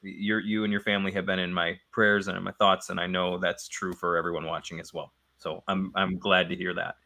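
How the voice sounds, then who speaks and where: A man's voice: deep voice, little pitch movement, a little fast, vocal fry, bright, forceful tone. A man with a slightly scratchy, sniffly voice from a cold, co-hosting a spiritual discussion show, talking in a thoughtful, circling way and restating his points. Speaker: co-host.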